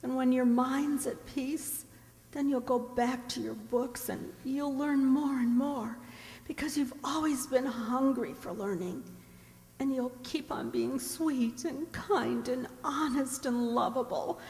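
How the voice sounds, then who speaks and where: A high-voiced young woman on her deathbed as an actress plays her, speaking slowly and tenderly. She is devoted and earnest, in radio drama style. The sound carries a long hall reverb. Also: a little mellow. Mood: earnest, reassuring. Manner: slow, tender, earnest delivery. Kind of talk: stage-like radio drama acting.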